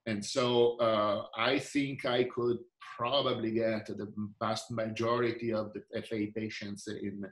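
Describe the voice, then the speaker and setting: Older man with an Italian accent, halting. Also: lowish voice, flat delivery, echoey room. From Italy. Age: 60s. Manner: halting, many fillers and restarts. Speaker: researcher and physician. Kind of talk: interview answers over video call. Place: indoor room. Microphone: video call.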